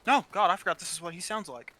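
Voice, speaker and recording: A man hosting a gaming video with casual, blunt, sweary commentary and animated storytelling. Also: sits high, rapid-fire. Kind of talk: casual gameplay chat and commentary.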